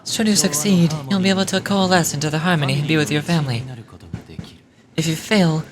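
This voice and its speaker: A woman's voice: deep voice, fairly quick, a crowd in the background, voice pushed down, lightly acted. A woman voicing a stern, sanctimonious male religious judge from a video game, speaking formally and measuredly. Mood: stern, solemn. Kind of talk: reading game dialogue aloud in character.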